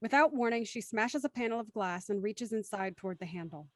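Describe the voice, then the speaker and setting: A woman's voice: mid-range voice, lively intonation, brisk, no room sound, studio quality. A woman reading scripted scene narration for an audio drama.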